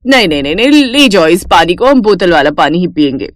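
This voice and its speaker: A woman's voice: fairly low pitch, sing-song, brisk, dry recording, clean studio sound. A woman voicing a snobbish, fussy rich city wife who exclaims in a haughty manner in a cartoon story. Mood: haughty, disgusted.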